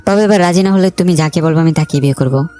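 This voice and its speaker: A woman's voice: low voice, fast talker, warm tone, soft background music, pitched below the performer's own voice, lightly acted. A woman voicing a cold, vengeful young man in a romantic story, with a curt, commanding manner. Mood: insistent. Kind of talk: dramatised romantic story dialogue.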